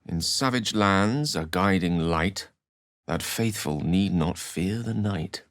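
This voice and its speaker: Low-voiced, eloquent wizard. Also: male voice, slow, a bit bright, no room sound, studio quality, exaggerated voice. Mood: reverent, musing. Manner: articulate, erudite, theatrical. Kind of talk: video game character acting and narration.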